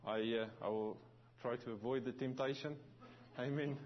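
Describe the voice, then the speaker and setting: A man's voice: medium pitch, steady tone, muffled recording. A man from South Africa with a South African accent tinged by New Zealand, preaching as a visiting pastor with frequent restarts and fillers. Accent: South African with some New Zealand. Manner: halting, many restarts and fillers. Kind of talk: sermon.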